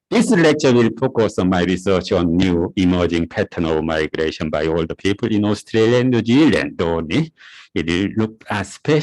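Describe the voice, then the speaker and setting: A middle-aged man from South Korea with a Korean accent, a native Korean speaker giving a conference lecture in a halting way, often repeating words and restarting. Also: low-pitched, low-quality recording.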